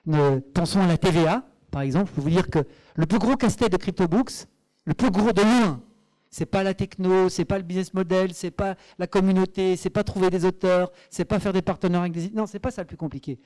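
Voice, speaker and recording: A Belgian man presenting at a tech meetup, his voice a touch higher than most and mellow rather than bright. He talks hesitantly, with fillers and restarts, then drives his points home emphatically. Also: brisk. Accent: Belgian French. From Belgium, Brussels. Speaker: startup co-founder presenting at a meetup. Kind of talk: conference presentation.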